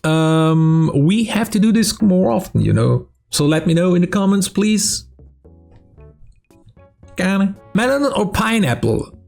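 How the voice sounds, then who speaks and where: A man's voice: high-pitched, expressive, unhurried, creaky, a little mellow, faint music behind. A young man from South Tyrol with a German accent, a streamer casually answering fan questions, swearing and laughing between answers. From South Tyrol, Italy. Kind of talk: casual Q&A vlog.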